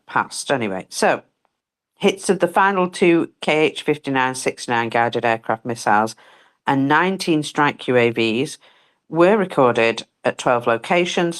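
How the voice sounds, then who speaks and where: Low-voiced blunt woman losing her voice. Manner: blunt, swears freely, chatty asides. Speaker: co-host reading daily war figures. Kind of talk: casual live stream commentary.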